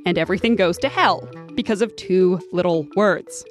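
A woman's voice: on the low side, normal speed, soft background music. A woman hosting a podcast, telling stories in conversational, scripted narration. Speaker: podcast host.

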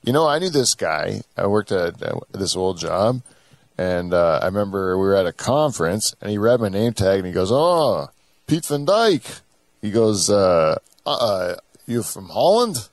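A man hosting a comedy podcast, chatting casually with dry, deadpan jokes close to the mic. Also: on the low side, a little slow, dry recording. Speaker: podcast host.

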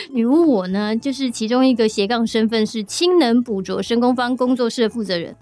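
A woman's voice: on the high side, moderate pace, a little mellow, soft background music. A woman with a Taiwanese Mandarin accent hosting a casual, chatty podcast in a playful, teasing way. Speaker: podcast host and audio drama studio head.

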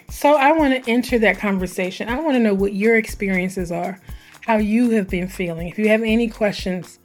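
Hoarse scratchy woman doing her podcast intro, lively chatty delivery, faint music under it. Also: mid-range voice. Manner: animated, conversational, drawn-out emphasis. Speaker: podcast host.

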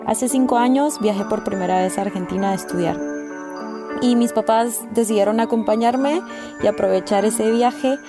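A woman's voice: a little high, normal speed, music in the background. A woman in her twenties with a Guatemalan accent, casually telling a personal story. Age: 20s. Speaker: interview guest, actress and theater director.

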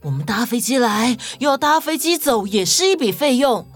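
Woman playing a sensible, grumbling detective, very expressive. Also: on the high side, normal speed, husky, soft background music. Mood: exasperated. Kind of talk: children's audio story acting.

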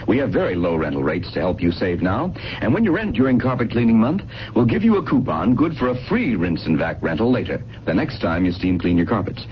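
A man delivers a scripted radio commercial. His fairly low voice is full of movement, on a dull old broadcast with faint noise. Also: very animated, fairly quick, hardly any pauses. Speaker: commercial spokesman. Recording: muffled, noisy vintage radio broadcast.

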